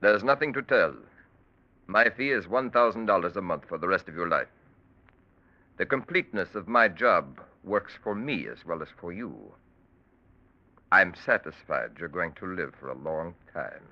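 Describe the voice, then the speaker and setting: An actor playing a cold, calculating crime-drama surgeon in 1940s radio style, speaking formally and precisely with unruffled menace. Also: male voice, low-pitched, animated, normal speed. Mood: cold, menacing. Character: cold, calculating male surgeon extorting his criminal patients. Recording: muffled vintage radio drama recording.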